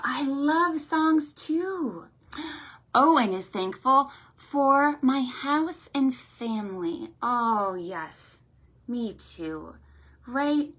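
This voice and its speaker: A woman hosting a storytime for young children, with an animated, sing-song, encouraging way of talking, full of check-in questions and affectionate thanks. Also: on the high side. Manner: affectionate, effusive greetings and thanks. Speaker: children's library storytime host.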